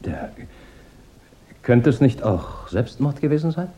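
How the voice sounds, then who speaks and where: An impatient, defensive rich stepfather in a radio crime play. His husky, mellow voice swings widely as he makes agitated demands. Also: medium pitch, expressive, measured pace, muffled recording. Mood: uneasy. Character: impatient, defensive wealthy stepfather under suspicion. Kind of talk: German radio crime drama acting.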